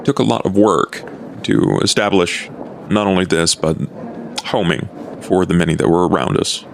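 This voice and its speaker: A man voicing a brooding, enigmatic male vampire who speaks in a deliberate, formal, roundabout way in improvised roleplay. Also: deep voice, vocal fry, a little hiss or hum. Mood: measured. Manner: deliberate, formal, talks in circles. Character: brooding, soft-spoken, enigmatic male vampire fixer named Duke.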